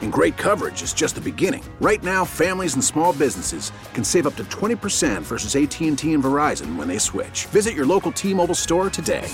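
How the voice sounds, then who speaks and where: Male ad voice, persuasive, animated and slightly high. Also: fast, few pauses, faint music behind. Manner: polished, persuasive. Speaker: ad announcer. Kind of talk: commercial voiceover.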